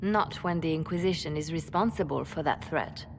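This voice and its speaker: Low-voiced, composed spymaster. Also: female voice, moderate pace, gravelly, noisy background. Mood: urgent. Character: composed, grave female spymaster, calculating and urgent. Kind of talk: dramatic video game acting.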